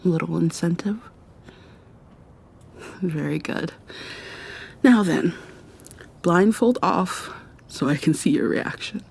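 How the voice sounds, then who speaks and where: A woman playing an affectionate, teasing, gently commanding girlfriend in an ASMR roleplay, speaking intimately and coaxingly and often dropping to a whisper. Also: lowish voice, slow, vocal fry, pauses often, husky, exaggerated voice. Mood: firm, playful.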